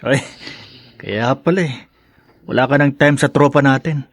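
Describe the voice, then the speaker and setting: A man's voice: mid-range voice, normal speed, dry recording, studio quality, natural voice. An actor playing a joking, blunt young male friend in a radio drama. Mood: teasing.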